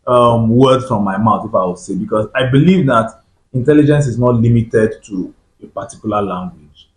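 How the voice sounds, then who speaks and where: Male panel guest, measured delivery, mid pitch, echoey room. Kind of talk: panel discussion.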